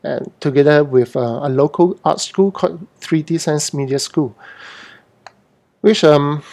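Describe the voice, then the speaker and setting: A man's voice: fairly high pitch, unhurried, creaky, darkish tone. A middle-aged man from Hong Kong who later lived in Singapore, talking informally and unscripted as an artist hosting an online Q&A, often repeating and correcting his words. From born in Hong Kong, moved to Singapore as a teen. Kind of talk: informal unscripted livestream talk.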